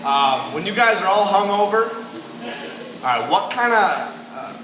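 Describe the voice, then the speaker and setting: A man's voice: sits high, big pitch swings, slow pace, far from the mic. A man from the audience asking a band playful, joking questions. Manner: jokey, playful. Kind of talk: casual fan question. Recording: echoey hall with crowd noise.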